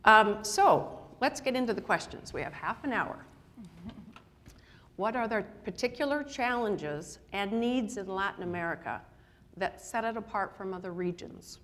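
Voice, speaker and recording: A woman's voice: mid-range voice, lively intonation, normal speed, fairly bright tone, long reverb tail, slight background noise. A middle-aged Canadian woman moderating a conference panel on stage with lapel mic, enthusiastic and upbeat. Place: indoor conference stage with audience.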